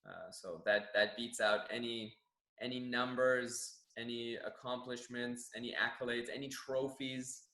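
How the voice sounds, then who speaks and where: A man's voice: medium pitch, steady tone, measured pace, sharp tone, reverberant, poor audio. A young Canadian man whose first language is Farsi, a gym general manager answering interview questions in an earnest, reflective way with many restarts. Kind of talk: staff profile interview answers.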